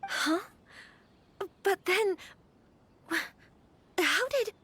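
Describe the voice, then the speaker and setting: An actress playing a gentle, refined young noblewoman in a video game, speaking politely and hesitantly, with formal thoughtfulness. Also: extremely high pitch, lively intonation, extremely slow, no echo, full character voice. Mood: hesitant, surprised. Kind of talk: video game cutscene acting.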